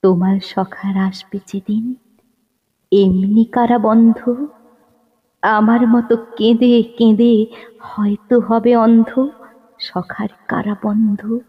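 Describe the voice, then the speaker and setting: A woman recites poetry with great deliberation and expressive pauses. Her medium-pitched voice is husky and breathy, rising and falling with dramatic feeling. It sits in a long reverb, with a slightly dull, lo-fi finish. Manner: expressive, pausing, swelling and dropping to hushed refrains. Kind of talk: dramatic Bengali poem recitation, slow and measured.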